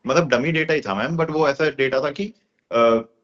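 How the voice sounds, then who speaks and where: A man's voice: on the high side, moderate pace, fairly bright tone. Muffled phone audio, young guy chatting casually, explains stuff loosely, loads of filler words. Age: young adult. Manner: colloquial, explanatory, with repeated filler words and echo words. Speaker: course student being interviewed. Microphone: phone call. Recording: dull lo-fi phone call recording.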